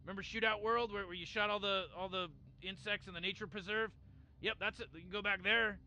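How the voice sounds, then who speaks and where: A man's voice: high-pitched, fairly even intonation, a little fast, sharp tone, lo-fi sound, heavily acted. An actor voicing a cocky, rambling genius grandfather scientist in a video game, stammering and dismissive. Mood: smug. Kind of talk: game dialogue.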